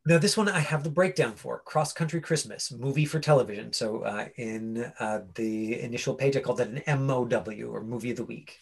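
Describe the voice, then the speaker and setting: Husky-voiced male acting coach. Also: medium pitch, a little fast, few pauses. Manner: rambling, checks understanding with "right?". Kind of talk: informal teaching and directing.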